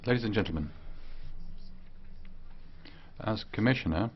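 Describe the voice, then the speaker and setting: Low-voiced male interpreter, halting phrases. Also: lively intonation, highs cut off, slight background noise. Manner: halting, broken into short phrases. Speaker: simultaneous interpreter. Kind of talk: live interpretation of a speech.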